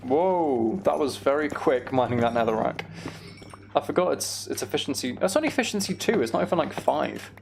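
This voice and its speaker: A man's voice: a little high, lively intonation, fairly quick, vocal fry, a little hiss or hum. A man giving casual, rambling gaming commentary to a live chat, with playfully exasperated banter. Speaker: game streamer hosting a live chat stream.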